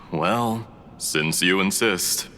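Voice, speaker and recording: A man voicing a scheming male scholar in a game cutscene, oily and flattering, speaking with mocking chuckles. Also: low-pitched, very slow, a little hiss or hum, full character voice.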